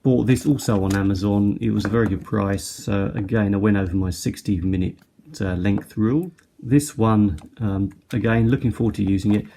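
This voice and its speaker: A middle-aged man with a fairly low, gravelly voice talks casually through products he is showing. His delivery is halting and unscripted. There is clear background noise with small handling clicks. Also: fairly even intonation, dark tone. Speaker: product reviewer. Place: indoors at a tabletop.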